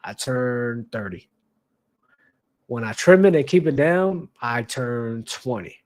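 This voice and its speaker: A man's voice: medium pitch, animated, slow, a little mellow. A young adult man hosting a basketball talk show, giving blunt casual opinion commentary with slang and lots of repetition for emphasis.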